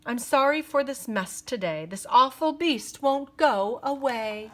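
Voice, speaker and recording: A snarky storybook shop clerk, acted out by a woman reader, sneers and scolds haughtily. The words come slowly and firmly, each one a put-down. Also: high-pitched, lively intonation, fairly bright tone, pitched above the performer's own voice. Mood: scornful.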